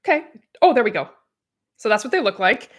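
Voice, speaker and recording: A woman's voice: a little high, sing-song, brisk. A young adult woman, podcast co-host, talking casually and rambling with lots of fillers and self-deprecating asides. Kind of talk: casual chat.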